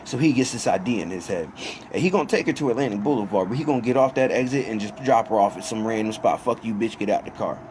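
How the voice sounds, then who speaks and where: A man's voice: fairly low pitch, quick pace, darkish tone. A man in his thirties telling a casual, profane personal story in a slangy, conversational way, quoting dialogue as he goes. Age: 30s. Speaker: storyteller and host. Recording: clear background noise.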